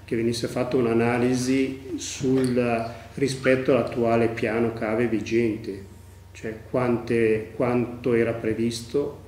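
Man, 50s, interview, medium pitch, faint noise. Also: level tone, takes it slowly, a little mellow. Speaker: local administrator. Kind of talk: interview statement. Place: office indoors. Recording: distant, echoey office, faint noise.

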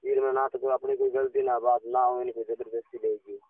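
Gravelly Rajasthani man on a phone. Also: extremely high pitch, wildly swooping pitch, a little fast, no echo. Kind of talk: casual phone conversation. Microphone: telephone. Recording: tinny, narrow phone call recording.

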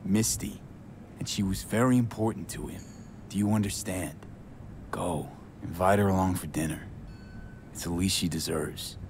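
Man playing a very deep, solemn young street mercenary. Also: darkish tone, slight background noise, natural voice. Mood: firm. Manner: measured, solemn, slangy street talk. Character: grieving young male street mercenary, loyal, plain-spoken, tough. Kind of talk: cinematic video game acting. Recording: music behind the voice. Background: plucked guitar music.

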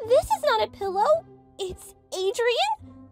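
A woman voicing a sleepy, easily flustered teenage girl, expressive and dramatic, for a comic dub. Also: very high voice, takes it slowly, music in the background, full character voice.

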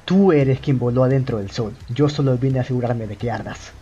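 A man performs a young detective in a fan film dub, cool and cunning. The voice is soft-edged and mellow, with a Latin American Spanish accent. He presses his suspect deliberately, over a music bed. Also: mid-range voice, highs cut off, lightly acted. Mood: intense, menacing. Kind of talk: amateur film dub acting. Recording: music under the dialogue. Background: background music.

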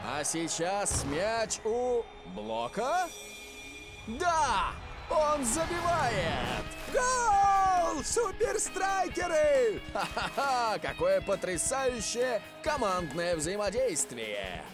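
A man voicing an excitable football commentator in a cartoon, with a dramatic, exclamatory delivery. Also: very high voice, very slow, music in the background, exaggerated voice. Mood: thrilled.

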